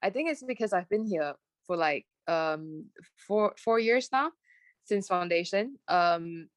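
A woman's voice: lowish voice, expressive, unhurried, no room sound. A young woman from Malaysia with a mixed Malaysian, British and American accent, chatting as an interview guest and rambling with many fillers and restarts. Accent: Malaysian with British and American traces. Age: young adult. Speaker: guest, fashion graduate. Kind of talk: casual podcast interview.

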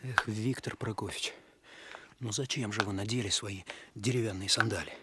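An actor playing an earnest, modest young police officer in a radio play, with a brisk, boyish way of talking. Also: male voice, on the low side, heavy background noise, natural voice.

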